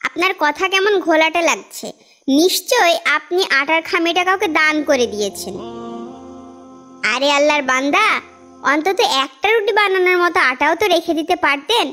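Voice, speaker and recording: A woman's voice: extremely high pitch, lively intonation, normal speed, fairly bright tone. A woman voicing a poor, plain-spoken young housewife in a cartoon, with a questioning, plaintive manner. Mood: doubtful. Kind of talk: animated cartoon dialogue in Bengali. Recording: music behind the voice.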